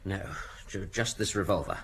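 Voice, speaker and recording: An actor playing an anxious, put-upon householder in an audio drama, flustered and protesting. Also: male voice, low voice, moderate pace, a bit bright, slight background noise.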